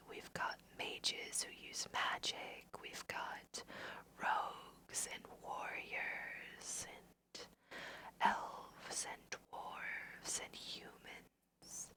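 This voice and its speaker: Young female ASMR gamer, hushed crisp whisper, very slow delivery, gentle guiding commentary. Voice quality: soft breathy whisper. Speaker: host of an ASMR gaming video. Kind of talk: ASMR let's play commentary.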